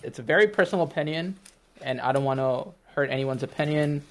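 A man's voice: fairly high pitch, fast. Emphatic young American man, chatty. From United States. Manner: animated, emphatic, laughs while arguing. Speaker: interviewee, barbershop customer. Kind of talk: casual conversation.

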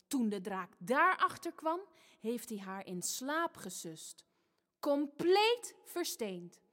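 An actress playing a storyteller in a theatrical fairy-tale radio play for families. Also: female voice, a little high, big pitch swings, slow pace, pushed and bright, full character voice. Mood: dramatic, storytelling. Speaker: narrator. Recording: long hall reverb.

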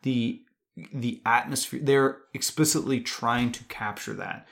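A man with a mid-pitched voice speaks as a podcast co-host in a casual film discussion. He reasons things out analytically and drops swear words as he goes. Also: unhurried, gravelly, fairly bright tone.

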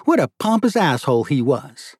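A man's voice: mid-range voice, very animated, moderate pace, husky, no room sound, clean studio sound, voice pushed up. A man voicing a boisterous older male judge with a thick Georgia accent, folksy and emphatic, for an audiobook. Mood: exasperated. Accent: Georgia, US Southern. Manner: loud, robust, folksy, emphatic. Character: boisterous older Southern judge, short pudgy, exasperated, joking.

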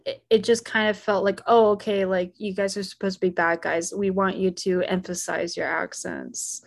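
A woman's voice: medium pitch, steady tone, normal speed, gravelly. A woman from the United States talking casually about a film, filling her sentences with "like" and "you know" and often restarting them. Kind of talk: casual conversation.

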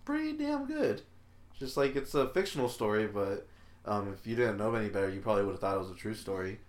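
Teasing young co-host in an echoey room. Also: male voice, on the low side, very animated, a little fast. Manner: teasing, rambling, laughs a lot. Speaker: podcast co-host. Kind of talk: casual podcast chat.

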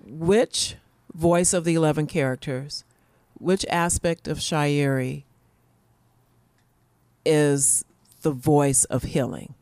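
An interview host with a mid-pitched voice asks questions slowly and haltingly, often restarting. The sound is dry and close, with little room. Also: steady tone, a little mellow, clear, resonant tone, no echo. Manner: slow, halting questions with many backchannels.

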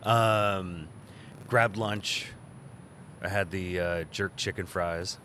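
A man co-hosting a podcast in casual chat, his voice a little worn and husky from the weekend, talking in a relaxed way with fillers. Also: low voice, drawn-out words, slight background noise. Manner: relaxed, chatty with fillers and restarts. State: voice a bit worn, deep. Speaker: podcast co-host. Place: outdoor courtyard at a convention.

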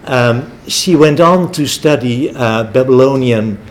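A man's voice: mid-range voice, unhurried, poor audio. A Dutch-born man who speaks American English, giving a hesitant vote of thanks with many ums and uhs. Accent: American English, Dutch first language. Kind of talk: informal spoken thanks.

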